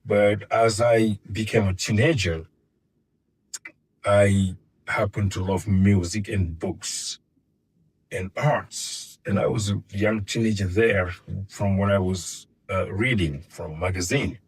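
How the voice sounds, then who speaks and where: Guy, middle-aged, really deep voice, kinda husky, stops and repeats words a lot. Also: fairly even intonation, slow pace, poor audio. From Senegal. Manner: hesitant, repeats words while searching for them. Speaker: guest filmmaker. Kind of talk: casual interview.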